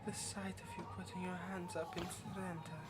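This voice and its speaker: Someone doing a haughty obsessive yandere vampire, teasing asmr roleplay, music really loud behind. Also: mid-range voice, little pitch movement, a little fast, lightly acted. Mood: possessive, gloating. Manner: teasing, haughty, flustered stammers. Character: possessive, smug yandere vampire, obsessive and condescending. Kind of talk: intimate ASMR roleplay acting.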